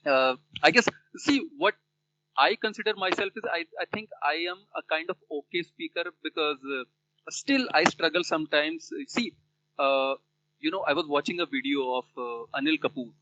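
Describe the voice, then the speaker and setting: A man's voice: on the high side, lively intonation, moderate pace, background noise. A man with an Indian English accent, a native Hindi speaker, chatting thoughtfully in conversation practice with many fillers and restarts. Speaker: learner.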